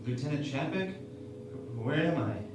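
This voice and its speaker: A man's voice: fairly high pitch, animated, a little slow, noisy background. A man playing a naive, sheepish hologram crewman in a sci-fi parody radio play, hesitant and understated. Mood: disoriented. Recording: echoey, distant, music underneath.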